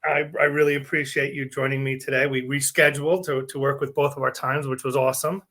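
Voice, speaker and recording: Male host, mid-pitched, casual and jokey, restarts his sentences. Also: flat delivery, fast, a bit bright. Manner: chatty, joking, repeats words when starting sentences. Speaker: podcast host, benefits advisor. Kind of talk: casual interview chat.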